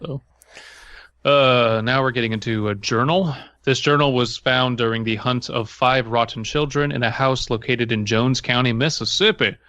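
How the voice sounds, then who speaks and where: A man's voice: mid-range voice, steady tone, moderate pace, fairly bright tone, dry recording, dull audio. A man co-hosting a podcast, chatting casually and joking while reading text aloud. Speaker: podcast co-host.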